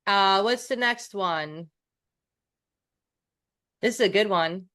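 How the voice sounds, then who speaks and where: A woman's voice: mid-range voice, slow, fairly bright tone. A woman from New York co-hosting a coaching podcast, talking in a candid, self-deprecating way with frequent restarts. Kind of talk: casual podcast chat.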